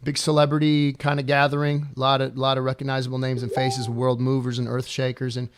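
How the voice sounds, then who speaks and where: A man co-hosting a podcast, enthusiastic and jokey, chiming in with quick affirmations. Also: mid-range voice, completely flat delivery, clear, resonant tone, no echo, studio quality. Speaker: podcast co-host. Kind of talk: casual chat.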